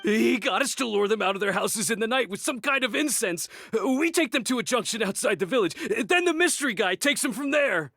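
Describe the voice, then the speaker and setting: An actor playing a frightened, cowardly desert bandit in a video game, stammering and pleading. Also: male voice, very high voice, animated, fairly quick, vocal fry, few pauses, bright, forceful tone, dry recording, exaggerated voice. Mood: desperate pleading.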